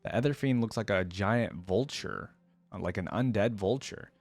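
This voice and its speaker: A man's voice: lowish voice, normal speed, a little mellow, no room sound, soft background music. A man giving casual reaction commentary, reading lore aloud and adding curious, informal asides as he goes. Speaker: reaction video creator.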